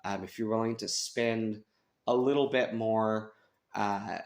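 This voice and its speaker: An American man giving a casual, unscripted review, thinking aloud with hesitant pauses. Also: on the low side, fairly even intonation. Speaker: radio reviewer. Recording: clear radio static and hiss behind. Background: shortwave radio static and stations.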